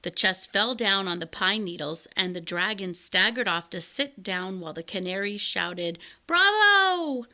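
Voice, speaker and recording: A woman reading a children's story aloud as an expressive narrator. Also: fairly low pitch, normal speed, hardly any pauses. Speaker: children's book reader.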